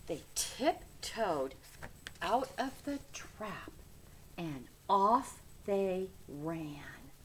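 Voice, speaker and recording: A woman's voice: on the low side, lively intonation, extremely slow, slight background noise. A woman reading a children's storybook aloud with dramatic, emphatic phrasing. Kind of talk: expressive children's storybook narration.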